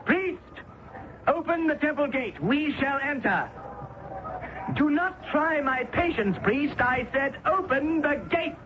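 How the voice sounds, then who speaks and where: A defiant young surgeon. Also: male voice, very high-pitched, animated, slow pace, heavily acted. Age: young adult. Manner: bold, insistent, commanding. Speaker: doctor. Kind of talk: 1970s radio drama acting. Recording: tinny narrow-band old radio recording with hiss.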